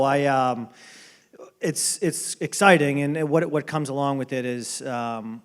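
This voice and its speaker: A man in his thirties from Boston with a Boston accent, answering interview questions in a relaxed way with many ums and restarts. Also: mid-range voice. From Boston area. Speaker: baseball front office executive. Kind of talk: casual interview at a dinner event.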